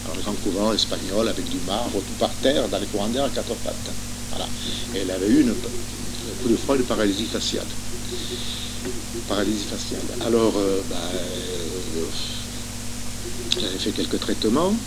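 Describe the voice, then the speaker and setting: A man's voice: fairly low pitch, moderate pace, long pauses. An older man from Spain giving a hesitant, reminiscing talk full of fillers and restarts, telling stories from his childhood. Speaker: guest speaker, former academic and author. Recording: noticeable background noise and hum.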